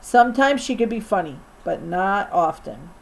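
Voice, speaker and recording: A woman teacher reading a children's novel aloud in an expressive storytelling style for a young class. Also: medium pitch, normal speed, gravelly, poor audio.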